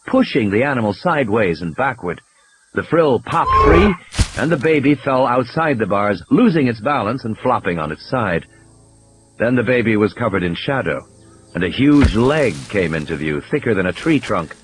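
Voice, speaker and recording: A man's voice: mid-range voice, big pitch swings, normal speed, a little hiss or hum, low-quality recording. A man reading scripted fiction as an audiobook narrator, in a dramatic storytelling style, with music and sound effects behind him.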